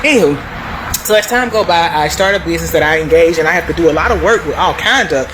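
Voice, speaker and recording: An American woman with a lowish voice talks casually to her viewers. She is emphatic and wanders off into asides as she gives her opinions. There is clear noise behind her. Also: lively intonation, fast talker. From United States. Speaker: video host.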